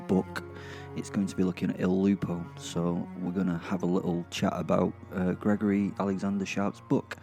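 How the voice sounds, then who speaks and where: A man with a lowish voice hosts a podcast with music underneath. He talks casually and chattily, doubling back on his own sentences with dry, jokey asides. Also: warm tone. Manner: chatty, self-interrupting, dryly jokey, sweary. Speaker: podcast host and narrator. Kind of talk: casual rambling recap to listeners.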